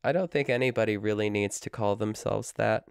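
A man co-hosting a casual book-club podcast, playful and animated, his voice worn out. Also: lowish voice, a little fast, creaky, smooth, no room sound, clean studio sound. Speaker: podcast co-host.

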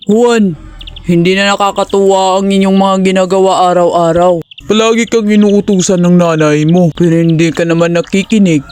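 Lady doing a deep-ish stern dad in a cartoon, heavy and strict. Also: fairly even intonation, moderate pace, a little mellow, clear, resonant tone, soft background music, lightly acted. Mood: scolding. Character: stern aging father, weary and strict.

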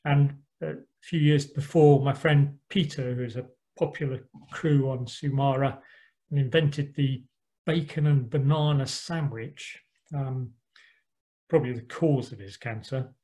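A middle-aged man giving a relaxed slide talk about a sailing voyage, with frequent ums and dry asides. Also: mid-range voice, measured pace, rough recording. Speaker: yacht club speaker. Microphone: online call microphone.

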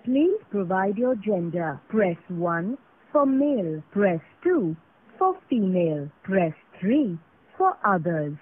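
A woman with an Indian accent reading formal, scripted automated phone prompts in a measured way over a phone line. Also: medium pitch, sing-song. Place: recorded phone call. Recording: tinny narrow phone line recording.

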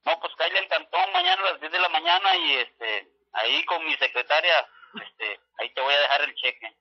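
Lo-fi phone call, man, fairly high voice, northern Mexican accent, casual slang, evasive. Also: sing-song, a little fast, creaky. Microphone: telephone call. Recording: dull lo-fi telephone line.

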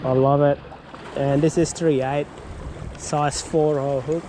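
A man's voice: on the high side, unhurried. Man fishing off a breakwall. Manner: excited bursts and whoops when a fish bites. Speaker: fishing vlogger. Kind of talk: casual running commentary while fishing. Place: outdoors on a rocky breakwall by the sea. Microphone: head-mounted action camera. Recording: outdoor wind and water noise.

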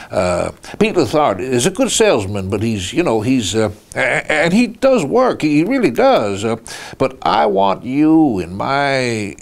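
A man's voice: mid-range voice, gravelly, heavily acted. An older man playing a folksy, profane oil executive in a dramatized phone call, stammering and rambling as he goes. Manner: halting, rambling, stammering delivery.

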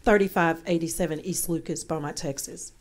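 A woman with a fairly low voice acts as clerk at a public meeting. Her delivery is procedural and a little hesitant. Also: fairly even intonation, fairly quick. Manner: apologetic, hesitant. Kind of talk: meeting procedure, calling names. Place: large indoor council chamber.

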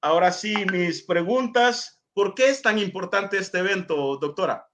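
A man's voice: high voice, a little slow, a bit bright, a little hiss or hum. A middle-aged man from Honduras hosting an interview on a video call, courteously reading viewer messages aloud. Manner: courteous, reads comments aloud. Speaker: host.

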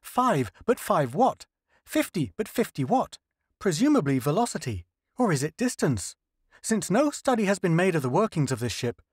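A man's voice: high-pitched, big pitch swings, normal speed, slightly rough, dry recording, studio quality, voice pushed up, exaggerated voice. Man doing a fussy lecturing scientist. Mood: explaining. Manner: explanatory, lecturing. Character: fussy male scientist explaining technical details.

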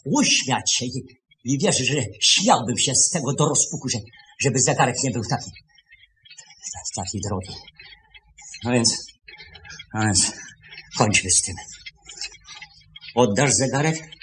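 A man acting in a radio play as a stern, agitated older boss, reproachful yet kind-hearted, speaking haltingly and repeating his words. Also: mid-range voice, animated, a bit bright. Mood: accusing. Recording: noisy 1970s radio recording.

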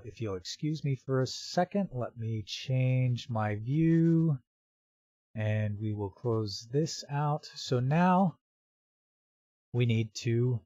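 A man narrating a step-by-step software tutorial in an informal, explanatory way. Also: mid-range voice, slow pace, no room sound, dull audio.

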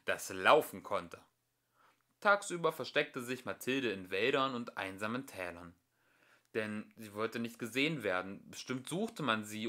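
A man's voice: fairly low pitch, bright, forceful tone. A man narrating a children's story expressively, as an amateur audiobook reader.

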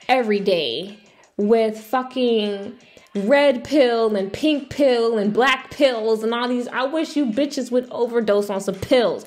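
A woman with a fairly high voice talks casually and bluntly, giving her opinions as a video commentator. She sounds exasperated and swears freely as she goes.

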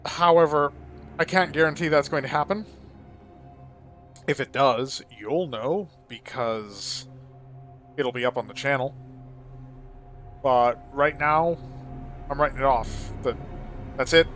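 A man's voice: mid-range voice, slow pace, long pauses, a bit bright. Man rambling over game music. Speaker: let's play video creator. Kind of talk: casual gameplay commentary with profanity. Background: game soundtrack music behind the voice.

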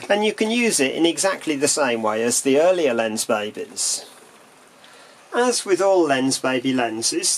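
Calm man explaining camera lenses. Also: a little high. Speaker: camera lens reviewer. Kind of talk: product demonstration voice-over.

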